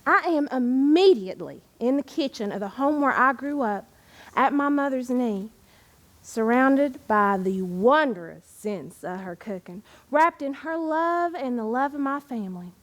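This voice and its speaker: A woman playing an Appalachian poet of yesteryear, fond and proud, in a theatrical living-history monologue with dramatic pauses and deliberate, expressive delivery. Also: high voice. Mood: fond, nostalgic. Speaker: historical reenactor reciting poetry. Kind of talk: theatrical first-person living-history monologue with verse recitation.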